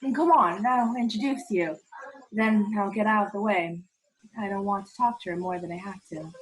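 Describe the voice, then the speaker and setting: A woman playing a blunt, hot-tempered and jealous English gentleman in a 1940s style radio play. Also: medium pitch, moderate pace, close to an everyday voice, rough recording. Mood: irritated. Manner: blunt, petulant.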